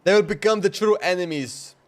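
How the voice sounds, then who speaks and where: A man's voice: sits high, a little fast, slight background noise. A man live-streaming a game with casual, rambling, repetitive reaction commentary. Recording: game music behind the voice.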